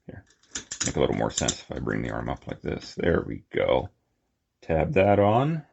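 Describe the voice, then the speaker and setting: A man's voice: rumbling low, huge pitch swings, normal speed, vocal fry, a little mellow, husky, lo-fi sound. A man giving a casual, unscripted hands-on toy review, rambling and murmuring to himself as he goes. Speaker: toy collector reviewing figures.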